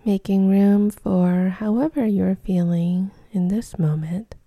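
A woman leading a guided meditation in a soft, soothing, unhurried way, her voice breathy and close to a whisper at times. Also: mid-range voice, dry recording. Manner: soft, soothing, unhurried delivery with short phrases.

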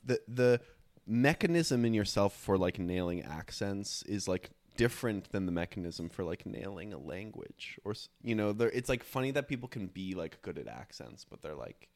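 Podcast co-host, male, very low voice with a lively pitch, laid-back and wry. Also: normal speed. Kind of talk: casual chat.

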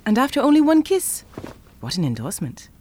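A woman playing a playful, flirty and ambitious chocolatier in an audio drama, teasing and expressive. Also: medium pitch, a little fast, a little hiss or hum.

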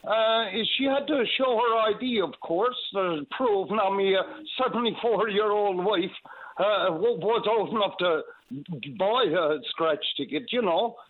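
A man's voice: high voice, unhurried. An older man, calling into a radio show and rambling folksily with many hesitations. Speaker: caller. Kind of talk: casual call-in chat. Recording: tinny phone line.

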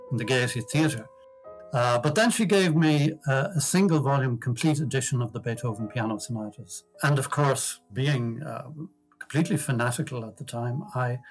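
Music softly behind, older Irish man, mid-pitched, hesitant autobiographical talk. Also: low-quality recording. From Ireland. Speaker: composer and pianist.

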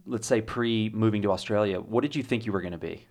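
A man's voice: lowish voice, quick pace, a little mellow. A man hosting an interview podcast, reading a scripted intro and then chatting with his guest. He is chatty and enthusiastic, often stumbling and restarting his sentences. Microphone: desk mic.

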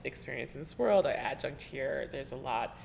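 A woman interviewing a colleague in a relaxed, chatty way, often trailing off and restarting and laughing as she talks. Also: extremely low pitch, moderate pace, vocal fry, slight background noise. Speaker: interviewer, teaching artist. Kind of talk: conversational interview. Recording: reverberant room with faint ambience.

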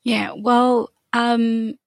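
A woman's voice: a little high, level tone, very slow, gravelly, no echo, studio quality. A woman from Tanzania, a guest speaking thoughtfully on a video call, with frequent fillers. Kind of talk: conversational interview.

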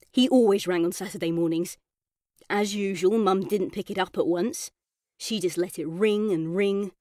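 A woman's voice: medium pitch, a little mellow, no room sound, studio quality, lightly acted. A woman voicing a thoughtful, cheeky young boy who narrates his own story in an audiobook, in short emphatic phrases. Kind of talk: first-person audiobook storytelling.

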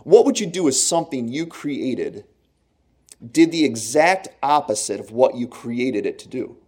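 Pastor, male, warm mellow tone, earnest and conversational, slows down for emphasis. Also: medium pitch, very animated, slightly rough. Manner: earnest, conversational preaching with slow emphatic phrases; laughs at own jokes. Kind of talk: sermon.

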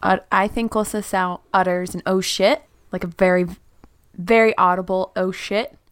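A woman's voice: mid-range voice, steady tone, normal speed, clean tone. A woman with a head cold, a teacher playing a tabletop game, complaining in a grumpy, sardonic way. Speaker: player; fifth-grade teacher. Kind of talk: casual chat and tabletop play.

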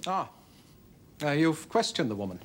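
A guarded SS officer under questioning. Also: male voice, a little high, very animated, normal speed, slight background noise. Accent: slight German accent. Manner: guarded, defensive replies. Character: nervous, evasive male SS officer.

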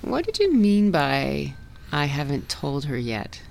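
Frank, gravelly, amorous woman. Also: low-pitched, wildly swooping pitch, slow pace, a little mellow, slight background noise, heavily acted. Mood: probing. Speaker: actor in an audio drama. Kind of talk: naturalistic satirical audio drama acting.

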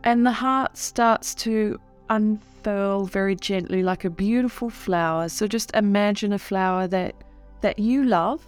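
A woman leading a guided meditation in a soothing, unhurried way with long pauses. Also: medium pitch, clear, resonant tone, soft background music.

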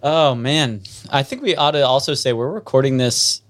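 A man co-hosting a casual podcast in a backyard, relaxed, checking in on others and asking questions. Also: medium pitch, moderate pace. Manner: relaxed, moderating, asks questions. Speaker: podcast co-host.

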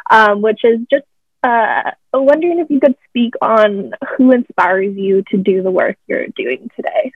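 A fairly high-voiced woman interviewer asks her questions hesitantly, leaning on fillers between phrases. Her voice comes through a dull, lo-fi line with no room sound at all. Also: a little slow, husky, dry recording.

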